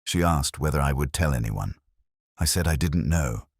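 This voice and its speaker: A man reading a scripted first-person detective story as narrator, in a measured, solemn, literary manner. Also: very deep voice, animated, slightly rough, no room sound, clean studio sound.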